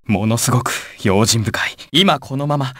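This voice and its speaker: An actor playing an intense, volatile young male gangster with a husky voice in dramatic video game dialogue. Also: medium pitch, moderate pace, no echo, studio quality, lightly acted. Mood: cool, measured. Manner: dramatic, swinging from controlled analysis to urgent shouting. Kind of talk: dramatic Japanese game dialogue and battle clips.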